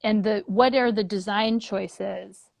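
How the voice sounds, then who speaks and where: A woman's voice: mid-range voice, steady tone, slow pace, creaky, no echo. A woman speaking casually as an interview guest, earnest, thinking aloud in long, looping phrases. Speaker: guest, puppet theater leader.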